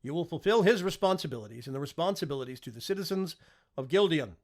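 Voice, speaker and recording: A man voicing a commanding, confident king with a formal, forceful delivery in an audiobook. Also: fairly high pitch, lively intonation, fast talker, pitched above the performer's own voice. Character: commanding, confident king passing stern sentence. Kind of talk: audiobook character dialogue.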